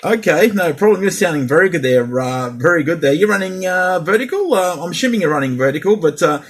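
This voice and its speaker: A man's voice: a little high, animated, fast talker, a little hiss or hum. A man in a casual radio chat as a CB operator, speaking hesitantly and repeating himself. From Australia.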